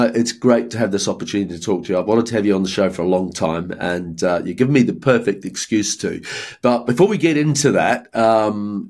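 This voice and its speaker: A man's voice: fairly low pitch, darkish tone, breathy edge. Earnest man hosting a podcast. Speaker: podcast host. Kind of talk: scripted podcast intro, then casual interview.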